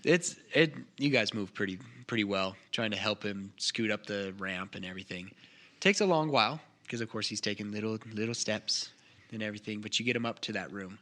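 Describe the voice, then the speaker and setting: A man's voice: lowish voice, a little fast, bright, forceful tone. A man running a tabletop game as game master, narrating to the players and calling for rolls.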